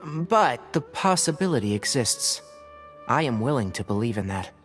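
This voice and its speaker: An actor playing a dry, sardonic but principled forest watcher and scholar in a video game, speaking precisely. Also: male voice, mid-range voice, very animated, normal speed, over music, natural voice. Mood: measured, wry. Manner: precise, wry, scholarly delivery. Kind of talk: scripted video game voice acting.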